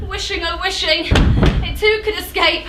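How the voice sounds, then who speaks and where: An extremely high, anguished female voice of a tragic chorus, acted by a woman chants of plague with rhythmic intensity. The theatre echoes around it, with clear noise over the recording. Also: steady tone, moderate pace. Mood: anguished, yearning. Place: theatre stage.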